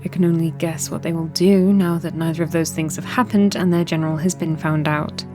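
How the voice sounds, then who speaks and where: A woman playing a resolute, war-weary young princess in a fantasy audio drama, measured, formal and earnest. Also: deep voice, steady tone, music in the background, close to an everyday voice. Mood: commanding.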